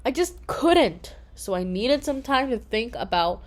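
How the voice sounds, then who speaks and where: Woman, children's storybook reader, high voice, lively intonation, expressive narration. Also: unhurried.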